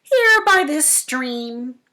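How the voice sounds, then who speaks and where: A clever little storybook mouse, as a woman reads her speaks in a raised, lifted voice, each line bold and drawn out. The delivery is slow and emphatic, like a tale read to children. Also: high voice, very animated, pushed and bright, pitched above the performer's own voice, exaggerated voice. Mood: boastful. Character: small clever brave mouse.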